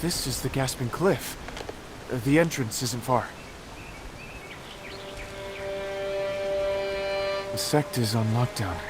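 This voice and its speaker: An excitable young inventor played by a male actor in a game cutscene, earnest and boyishly proud of himself. His voice sits at a middling pitch, and loud music plays behind it. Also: moderate pace, close to an everyday voice. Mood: serious, quieter. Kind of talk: animated game cutscene dialogue.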